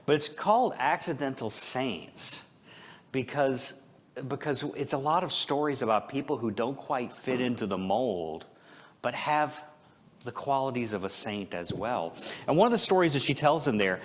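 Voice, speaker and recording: A man speaks as a pastor delivering an informal sermon. His voice sits at an average male pitch. He talks to his listeners conversationally, slipping in self-deprecating humor and rhetorical questions. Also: expressive, moderate pace. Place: church service, speaking before a congregation.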